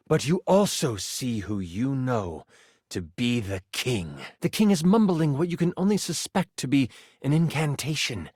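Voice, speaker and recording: A game master telling a fantasy quest in a video game. His delivery is theatrical and suspenseful with playful asides, in a very lively pitch and a dry, close recording. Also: dry recording. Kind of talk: theatrical tabletop fantasy narration.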